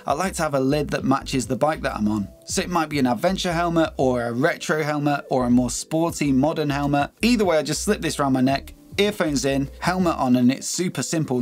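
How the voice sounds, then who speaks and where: A British man in his thirties giving a conversational, explanatory product review to camera as a motorcycle gear reviewer. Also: on the high side. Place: small home office, indoors.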